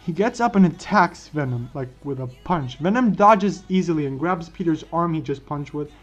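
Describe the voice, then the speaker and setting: A man's voice: on the high side, expressive, moderate pace. A man telling a fan-fiction story informally, often repeating and restarting his phrases. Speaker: host of a fan what-if story series. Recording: dull lo-fi mic, music behind. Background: background music.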